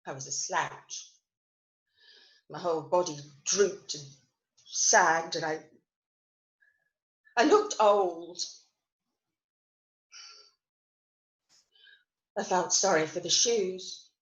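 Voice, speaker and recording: An actress playing a self-critical, wry and wistful woman recovering from an accident, telling her story in a theatrical monologue with deliberate pauses. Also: mid-range voice, lightly acted. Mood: dismayed, self-pitying. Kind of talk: dramatic monologue reading of new writing. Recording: roomy, echoing online recording.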